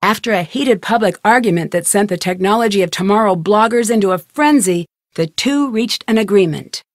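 A woman playing a young detective who narrates a game's story. Her mid-pitched voice is composed and articulate, recorded very dry. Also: brisk, no room sound, natural voice. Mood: composed, reflective. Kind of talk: scripted narration in a video game.

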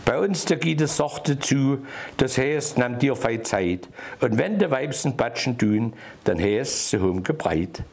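A man in his sixties with an Erzgebirge Saxon accent, reciting a humorous dialect poem in rhythmic rhyme with a gravelly, husky voice. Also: mid-range voice, animated, measured pace, darkish tone. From Erzgebirge, Germany. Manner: rhythmic, rhyming delivery read from paper. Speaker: dialect poet. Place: small indoor room by a wood stove.

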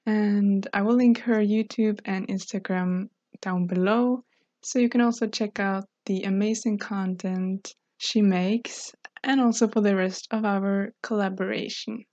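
A young woman from Norway, speaking English as a second language, narrates casually over her gameplay in a soft, mellow voice. She sounds friendly and chatty, with fillers and small laughs. The recording is dry and slightly dull. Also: mid-range voice, steady tone, a little slow, dry recording. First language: Norwegian. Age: 20s. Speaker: Sims speed-build YouTuber.

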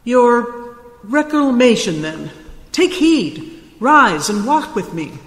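An actor playing an ageless, childlike spirit in a radio play, formal and commanding. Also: male voice, very high voice, very animated, takes it slowly, a bit bright, full character voice. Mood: stern. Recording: echoey, long hall-like reverb.

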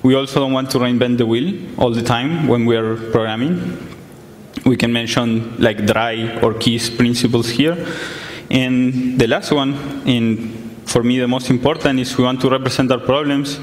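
A man's voice: mid-range voice, steady tone, moderate pace, a little hiss or hum. A young man from Argentina giving a conference talk in a large hall, explaining plainly with many fillers. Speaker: conference speaker. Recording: echoey hall, some distance from mic.

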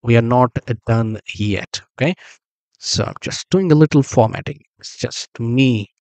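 Casual man narrating a software tutorial. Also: medium pitch, normal speed, no echo. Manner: casual, thinking aloud with frequent "uh" and self-corrections. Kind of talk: screen-recorded tutorial narration.

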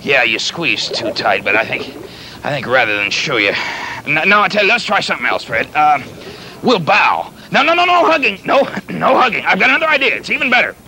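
A man playing a kindly, folksy pirate captain in a children's show, halting and stammering as he rambles and repeats himself. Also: fairly high pitch, sing-song, pushed and bright, slight background noise, exaggerated voice, rough recording. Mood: patiently coaching. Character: folksy, flustered, kindly pirate captain fond of his clumsy pet.

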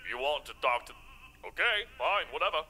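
A man's voice: on the high side, expressive, normal speed, bright, forceful tone. A man voicing a cocky, sarcastic vampire in a comic anime parody dub, with a flippant, smug, slangy way of talking. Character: cocky sarcastic male vampire, crude and playful. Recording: background music behind the voice.

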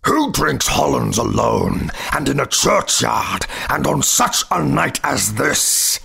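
Stern leering goblin from a storybook, real gravelly and loud, draws his words out slow. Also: big pitch swings, full character voice. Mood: accusing. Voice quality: deep. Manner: loud, slow, drawn-out questioning. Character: strange leering old goblin, stern and mocking.

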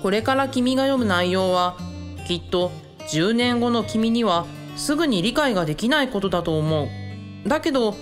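Radio drama monologue: earnest, gentle young male student, voiced by a woman, measured letter reading over guitar. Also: medium pitch, animated, lightly acted. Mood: earnest, tender. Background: guitar music.

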